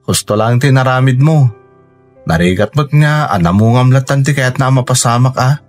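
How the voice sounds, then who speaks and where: An actor playing a steady, supportive young Filipino man with an Ilocano accent, reassuring in a radio drama. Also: mid-range voice, normal speed, faint music behind, close to an everyday voice. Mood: concerned, sympathetic.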